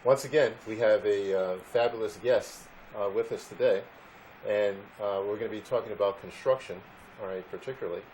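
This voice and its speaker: Male business host, halting and filler-heavy. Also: on the low side, a little mellow, a little hiss or hum, low-quality recording. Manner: halting, many fillers and "all right". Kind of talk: business interview.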